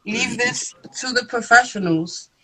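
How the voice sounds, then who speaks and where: A woman's voice: a little high, a little slow, a crowd in the background, rough recording. A woman hosting a casual livestream chat in an informal, slangy way. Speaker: podcast host. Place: remote video call.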